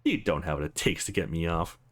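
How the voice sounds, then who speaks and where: A man's voice: low voice, animated, brisk, fairly bright tone, voice pushed down, full character voice. A man voicing a tough woman sparring partner from a visual novel, mocking and coolly formal, with clipped commands. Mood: taunting.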